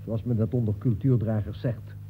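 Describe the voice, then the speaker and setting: An actor playing a composed detective from a novel, measured and wry, in an old radio play. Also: male voice, on the low side, fairly even intonation, narrow, tinny audio, natural voice. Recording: thin, noisy old radio recording.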